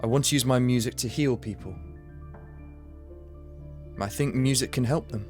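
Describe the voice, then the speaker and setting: A man's voice: medium pitch, normal speed, a little mellow, faint music behind, natural voice. An actor playing a young man with schizophrenia, a nervous, stammering musician, in a radio drama. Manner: stammering, hesitant restarts.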